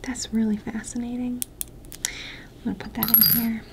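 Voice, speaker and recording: A woman doing a soft-spoken ASMR roleplay, mostly whispering and talking gently. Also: a little high, level tone, moderate pace, vocal fry, very noisy. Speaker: ASMR creator. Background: tapping and clicking sounds.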